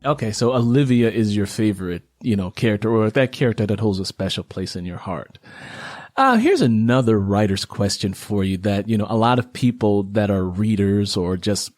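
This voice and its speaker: A man's voice: lowish voice, a little mellow, dry recording, studio quality. A man hosting a casual interview, talking conversationally in a rambling way and repeating his phrases. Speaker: interview host, writer.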